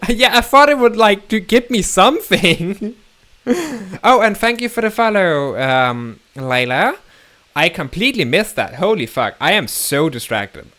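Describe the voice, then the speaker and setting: Man, English, streamer chat, lively pitch, bright, rambling, self-deprecating. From Denmark. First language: Danish. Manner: rambling, self-deprecating, stumbles and restarts often. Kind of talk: casual live-stream chat.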